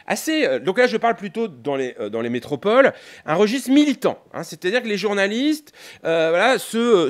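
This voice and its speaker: A man giving an analytical, semi-improvised academic lecture, often hesitating, breaking off and rephrasing as he explains. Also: high-pitched. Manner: hesitant, repeatedly breaking off and rephrasing, punctuated with rhetorical tags. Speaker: political scientist, university lecturer.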